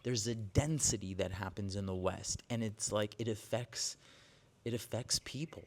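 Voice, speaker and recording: A man's voice: lowish voice, normal speed, a little mellow. A man in his forties, a yoga teacher, talking candidly in a casual vlog, with frequent "like"s and restarts. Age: 40s. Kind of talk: casual vlog monologue to camera. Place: outdoors with birds nearby.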